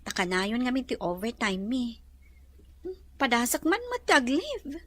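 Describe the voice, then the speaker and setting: A woman's voice: fairly high pitch, big pitch swings, a little slow, a bit bright, heavily acted. A woman with an Ilocano accent playing an exhausted, overworked employee in a radio drama. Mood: weary.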